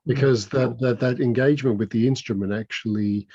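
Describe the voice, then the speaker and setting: Husky man on a dull, dry mic. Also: mid-range voice, steady tone, mellow, no room sound. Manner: measured, academic, repeats words. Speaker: guest, author. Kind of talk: online panel conversation. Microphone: video call.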